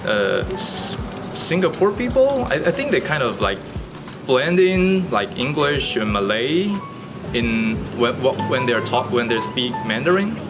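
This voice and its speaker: A man from Taiwan answering a street interview, halting and self-correcting with many "like"s. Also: medium pitch, sing-song, over music.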